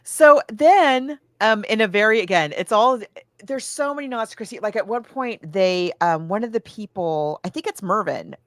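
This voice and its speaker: A woman's voice: mid-range voice, lively intonation, normal speed, no room sound, clean studio sound. A woman podcast co-host casually recapping a film, thinking aloud and backtracking as she goes, slipping into casual quoted asides. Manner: acts out characters' lines in casual asides.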